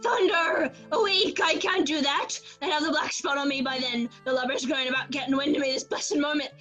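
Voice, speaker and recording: A woman playing a rough, fearful old male sea captain who craves rum, in a radio play with sailor dialect. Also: sits high, brisk, fairly bright tone, soft background music. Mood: agitated, fearful.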